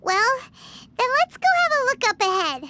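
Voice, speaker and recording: Very high, childlike fairy companion. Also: female voice, sing-song, moderate pace, pushed and bright, heavily acted. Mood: worried. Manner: childlike, speaks of herself in third person. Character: tiny high-voiced floating fairy companion, excitable and anxious. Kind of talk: video game voice acting.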